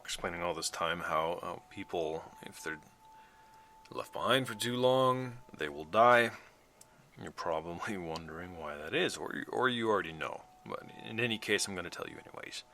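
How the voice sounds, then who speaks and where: Gravelly, very deep, weary mechanic. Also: male voice, expressive, pushed and bright, a little hiss or hum, exaggerated voice. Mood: explaining. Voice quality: low gravelly voice. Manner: halting, hesitant, reflective. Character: weary working-man vehicle mechanic recording a sombre log. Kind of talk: audio drama monologue, naturalistic. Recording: music running under the voice. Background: musical underscore.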